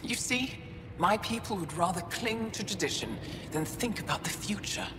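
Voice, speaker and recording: An actress playing a calm alien woman scientist who argues in a measured, persuasive way. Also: on the low side, lightly acted. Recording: reverberant game audio with hiss.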